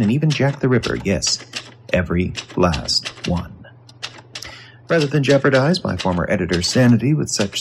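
A wry retired reporter narrating a radio drama, very deep voice swooping up and down, typewriter clacking behind, hard-boiled. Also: male voice, creaky. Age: older. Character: retired male newspaper reporter, world-weary and wry. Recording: noisy background with typewriter clicks.

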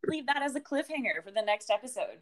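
Young woman, raspy ragged voice, hungover, rambling, self-mocking, podcast chat. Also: high-pitched, very animated, quick pace. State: hungover and tired. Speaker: podcast co-host, wedding singer. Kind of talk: casual chat.

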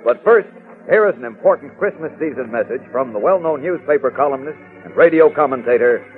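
A man's voice: medium pitch, animated, a little fast, phone-quality sound, soft background music. A man announcing and narrating a serial in dramatic, scripted 1940s radio style. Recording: thin, band-limited vintage radio recording.

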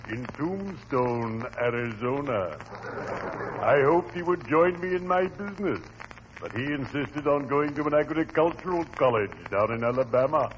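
A man's voice: medium pitch, expressive, natural voice. A man playing a genial, morbid undertaker with solemn, formal speech, in 1940s radio comedy style. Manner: solemn, formal, slow ceremonious delivery. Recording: noisy, muffled vintage radio recording.

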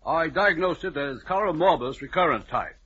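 Radio-play role: blunt doctor, husky, forceful, slightly high voice. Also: male voice, lively intonation, brisk, exaggerated voice. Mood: assertive. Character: blunt, matter-of-fact doctor giving testimony. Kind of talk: old radio drama acting. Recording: dull vintage radio recording.

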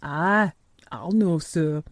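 A woman's voice: sits low, dry recording, voice pushed down. Woman voicing a crabbed old Yorkshire gardener. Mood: wry, obliging. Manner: gruff, dry, slow dialect speech. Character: crabbed old Yorkshire gardener man, dry, secretly tender.

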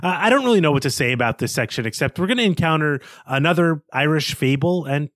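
A man co-hosting a literary podcast, musing about the book and trailing off into quiet asides. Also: fairly high pitch, lively intonation, fast, no echo. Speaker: podcast co-host. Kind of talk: casual book discussion.

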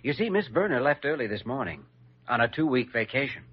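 An actor playing a wry, easygoing young hospital doctor in a 1940s radio drama. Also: male voice, mid-range voice, big pitch swings, a little fast, close to an everyday voice. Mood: wry, teasing. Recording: dull old radio recording.

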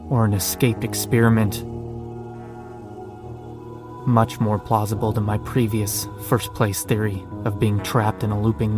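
A man's voice: lowish voice, close to an everyday voice. A man playing a sarcastic, defiant, foul-mouthed zombie apocalypse survivor in an audio drama. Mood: brooding, suspicious. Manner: sardonic, profane. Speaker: narrator and lead character. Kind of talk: first-person audio drama narration and dialogue.

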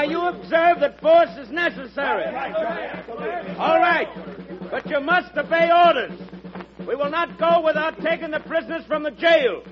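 An actor playing a fiery rebel leader rallying his men, commanding and urgent, in an old radio drama. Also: extremely high pitch. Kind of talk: 1940s radio acting. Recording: lo-fi old radio broadcast with crowd.

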